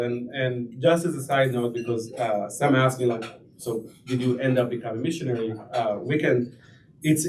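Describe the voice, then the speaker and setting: A man in his thirties with an Israeli accent, giving a personal testimony at a lunch event, halting and self-deprecating with many fillers and restarts. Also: mid-range voice, slight background noise. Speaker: guest speaker. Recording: distant mic with faint room ambience.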